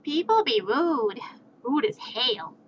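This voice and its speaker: A woman with a fairly high voice chats on a livestream at an unhurried pace. She is joking and sweary, and grew up with sign language and Spanish. The sound is slightly dull and lo-fi, with faint noise behind her. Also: very animated. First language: American Sign Language and Spanish. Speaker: live streamer. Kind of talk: casual chat with acted-out back-and-forth dialogue. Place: indoor livestream.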